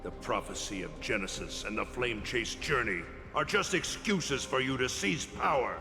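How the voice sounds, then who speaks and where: An actor playing an embittered, defiant old warrior teacher in a video game, gravelly and accusing in measured dramatic lines. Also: male voice, mid-range voice, animated, natural voice. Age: older. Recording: long hall reverb under loud music.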